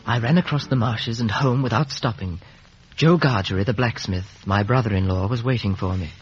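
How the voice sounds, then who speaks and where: A man's voice: lowish voice, fairly quick, close to an everyday voice. An actor playing a grown man recounting his boyhood as narrator of a radio drama. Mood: reflective. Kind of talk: scripted first-person radio drama narration. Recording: muffled vintage radio recording. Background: loud music.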